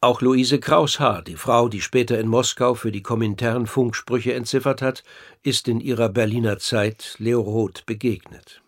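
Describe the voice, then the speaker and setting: A man's voice: mid-range voice, steady tone, moderate pace. A man narrating a documentary radio play from a script in a factual, precise manner.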